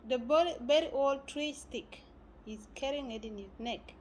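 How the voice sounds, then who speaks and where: A woman from South Sudan giving a spiritual talk, often pausing and searching for words. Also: high-pitched, darkish tone, slight background noise. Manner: halting, searching for words with many fillers. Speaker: spiritual teacher.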